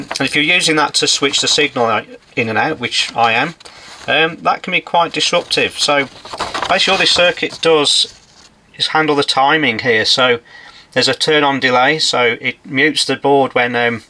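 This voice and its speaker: A man in his forties gives casual, practical commentary while he works at an electronics bench. His voice is bright and forward. There is faint noise and workshop ambience behind him. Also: medium pitch, normal speed. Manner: informal, explains as he works. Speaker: electronics repairer presenting a workshop video. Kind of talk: hands-on demonstration commentary, casual. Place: indoor electronics workshop at a workbench.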